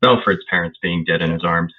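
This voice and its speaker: A man's voice: deep voice, brisk. A man chatting casually as a tabletop game player over a tinny voice chat.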